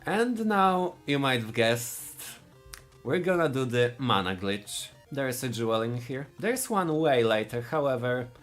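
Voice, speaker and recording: A man from Poland with a Polish accent, giving live speedrun commentary in a halting way, often restarting his sentences. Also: medium pitch, very animated, faint music behind. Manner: halting, searching for words, frequent restarts.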